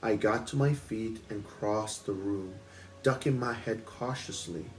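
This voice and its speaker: Low-voiced man reading a story aloud. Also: measured pace, poor audio. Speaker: book club host reading aloud. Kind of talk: expressive storybook narration. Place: at home.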